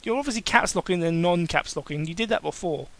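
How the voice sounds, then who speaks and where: A man's voice: high voice, animated, quick pace, creaky, a bit bright. A man doing a dramatic reading of fan fiction, breaking off into sarcastic, sweary asides.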